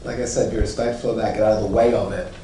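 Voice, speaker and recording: Interview actor from Zimbabwe, low voice, reflective and rambling, recorded far off in an echoey, noisy room. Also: male voice, steady tone, very fast, dark tone. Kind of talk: press junket interview.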